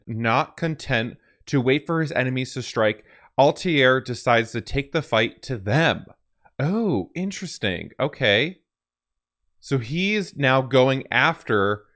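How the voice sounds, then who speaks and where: A man's voice: medium pitch, a bit bright. A man hosting a gaming reaction video, talking casually in an enthusiastic, emphatic way. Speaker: host of a gaming reaction channel.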